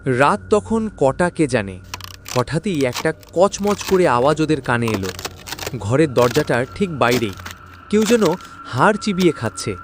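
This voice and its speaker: A man's voice: on the high side, sing-song, measured pace, background noise. A man narrating a scripted horror story in a dramatic storytelling style.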